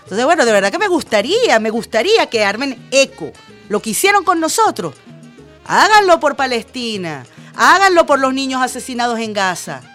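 Woman radio host, sarcastic, pointed, very lively pitch, faint music under. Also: moderate pace. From Venezuela. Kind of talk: radio commentary.

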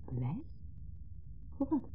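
A woman's voice: takes it slowly, slight background noise, close to an everyday voice. An actress playing a guarded, nostalgic married woman in a 1970s Dutch radio play, giving short, understated replies. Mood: guarded, hesitant. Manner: reserved, brief, understated replies. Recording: muffled, lo-fi 1970s radio recording.

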